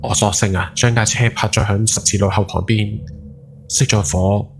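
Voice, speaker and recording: A man acting as a haunted Hong Kong taxi driver in his forties, speaking Cantonese in a lowish voice over faint music. He confesses his guilt in a brooding first-person horror podcast story, leaning on the dramatic words. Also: fairly even intonation, moderate pace, vocal fry. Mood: weary, brooding. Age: 40s. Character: haunted guilt-ridden forty-something night taxi driver. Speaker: ghost story podcast narrator. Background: background music.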